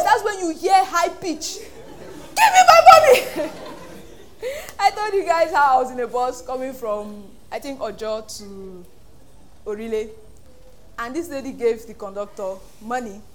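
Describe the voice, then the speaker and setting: A Nigerian woman pastor preaching an animated sermon, acting out voices and laughing often. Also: sits high.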